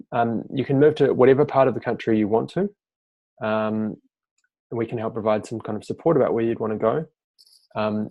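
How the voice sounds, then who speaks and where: A man hosting a live webinar, reading out audience questions and answering them in a relaxed, off-the-cuff way over a video-call mic. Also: mid-range voice, gravelly, no room sound, lo-fi sound. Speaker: fellowship team member hosting a webinar Q&A.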